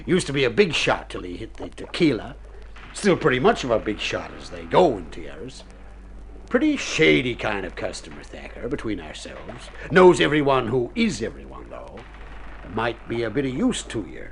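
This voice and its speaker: A gruff schooner captain in a radio play, shady but soft-hearted. His gravelly voice swings up and down as he teases in folksy seafarer slang, over faint old-recording hiss. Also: fairly low pitch, huge pitch swings, moderate pace, heavily acted. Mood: softening, friendly. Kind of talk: old radio drama acting.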